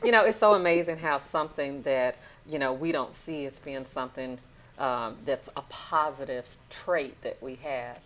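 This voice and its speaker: Low-voiced woman host, faint hiss. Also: moderate pace, a bit bright. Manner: enthusiastic, laughing asides. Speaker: podcast host. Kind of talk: casual interview.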